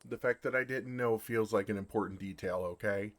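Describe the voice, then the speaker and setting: A man playing a bashful, lovestruck male dragon in human form for an ASMR fantasy roleplay, speaking in a halting, earnest way. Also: lowish voice, fairly even intonation, natural voice. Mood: sheepish. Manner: flustered, halting, earnest delivery.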